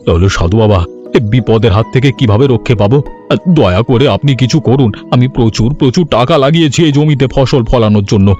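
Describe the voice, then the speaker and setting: A man playing a gullible, anxious village landlord in a cartoon, earnest and a little halting, pleading yet bossy. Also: medium pitch, a little mellow, highs cut off, close to an everyday voice. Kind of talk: cartoon story dialogue. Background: music.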